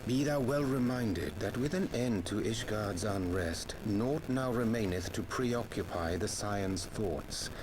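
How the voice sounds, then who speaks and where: An actor playing a solemn, scholarly conspirator in a fantasy game, speaking in measured, formal, archaic language. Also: male voice, on the low side, noisy background. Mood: grave, foreboding. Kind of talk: theatrical fantasy video game acting.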